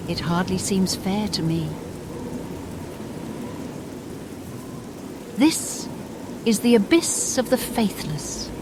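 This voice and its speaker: A woman's voice: on the high side, noisy background. A woman voicing a bitter, damned female spirit in a fantasy game, speaking in a solemn, theatrical and formal way. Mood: bitter, wounded. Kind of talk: dramatic fantasy game dialogue.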